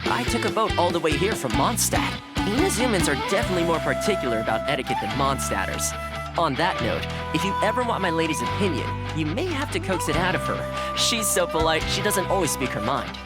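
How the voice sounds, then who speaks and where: A man voicing a friendly young male housekeeper in a game trailer, speaking in a relaxed, conversational way. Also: a little high, a little fast. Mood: easygoing. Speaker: game character in a demo trailer. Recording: game trailer with loud guitar music. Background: loud guitar music.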